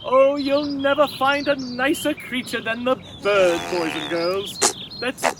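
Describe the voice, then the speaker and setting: A man playing a fussy, theatrical children's storytime host who addresses children in an exclaiming, sing-song way, with a husky voice. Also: very high voice, slow, recorded from a distance, background noise, heavily acted. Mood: excitable, flustered. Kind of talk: hammy comedic children's read-aloud.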